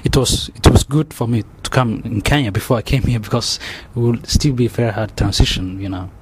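A young man with a Somali accent, telling his story in an interview, halting and full of restarts and fillers. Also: mid-range voice. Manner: halting, many restarts and "you know" fillers. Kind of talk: oral history interview. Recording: faint background noise.

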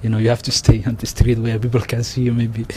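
A young man with a Somali accent, telling his story in an interview, halting and full of restarts and fillers. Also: mid-range voice, completely flat delivery, dark tone. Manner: halting, many restarts and "you know" fillers. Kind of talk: oral history interview. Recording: faint background noise.

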